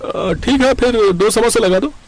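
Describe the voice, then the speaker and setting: A man's voice: very high voice, a little slow, rough recording. A man voicing a friendly, hearty customer in a cartoon story, speaking expressively. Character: friendly male snack customer, appreciative, hearty.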